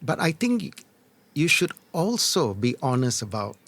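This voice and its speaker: A middle-aged man answering interview questions as an experienced business leader, in measured, earnest, short deliberate phrases. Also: a little high, sing-song, gravelly, fairly bright tone, no echo. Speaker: entrepreneur and former corporate leader, interview guest. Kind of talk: reflective conversational interview answers.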